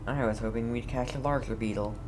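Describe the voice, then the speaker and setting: A man's voice: medium pitch, fairly even intonation, fast, low-quality recording. A man giving casual let's play commentary with dry, offhand reactions. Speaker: gamer playing through a video game.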